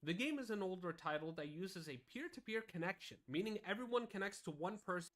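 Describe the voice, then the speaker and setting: Lively woman narrating a video. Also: medium pitch, fairly quick.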